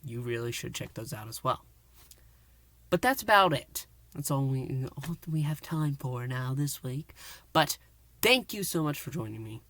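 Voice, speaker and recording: A man's voice: medium pitch, animated, a little slow, a bit bright. Rambling man reviewing a show. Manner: rambling with repeated fillers and restarts. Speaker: podcast reviewer. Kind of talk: casual solo review and recap.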